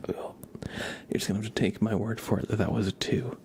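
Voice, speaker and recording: A young man giving a soft, whispered ASMR tarot reading while chatting in a relaxed, rambling way with viewers. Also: lowish voice, moderate pace.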